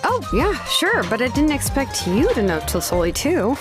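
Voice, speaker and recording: An actress voicing an easygoing, wry young craftswoman in a game dub, talking in a relaxed, dryly teasing way over soft game music. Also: sits high, normal speed. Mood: cheerful. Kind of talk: dubbed game dialogue.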